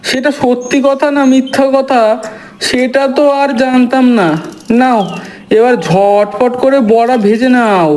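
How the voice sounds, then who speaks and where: A voice actor as an easygoing village husband. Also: male voice, very high voice, fairly even intonation, measured pace, a little mellow. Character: cheerful village husband, young adult. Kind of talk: cartoon voice acting.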